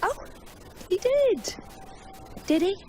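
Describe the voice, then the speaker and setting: An actress playing a composed, sharp, earnest young female assassin in a video game cutscene. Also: very high voice, sing-song, slow, slight background noise, full character voice. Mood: defensive, dry. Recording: music behind the voice.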